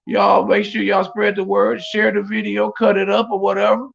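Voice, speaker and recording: A man's voice: sits high, completely flat delivery, normal speed, creaky, lo-fi sound. A man hosting a podcast, talking casually and rambling straight to his viewers over a phone stream. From Flint, Michigan. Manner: rambling, repeats words, speaks straight to his audience. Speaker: podcast host. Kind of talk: casual live address to viewers. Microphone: phone, streaming from afar.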